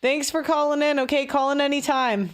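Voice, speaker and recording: A woman's voice: high voice, steady tone, a little fast. A woman hosting a live call-in stream, talking casually with fillers like "like" and "um". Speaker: host.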